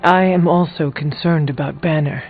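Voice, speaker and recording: A man playing an earnest warrior hero in a video game, speaking in formal, measured phrases. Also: fairly high pitch, steady tone, phone-quality sound, soft background music, natural voice. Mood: earnest, steady.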